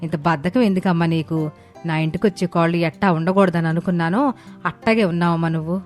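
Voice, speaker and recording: A woman playing a scolding, scheming older mother-in-law in a dramatised family story, with a sharp, accusing manner. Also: lowish voice, steady tone, quick pace, faint music behind, natural voice. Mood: angry nagging. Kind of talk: dramatised moral story acting.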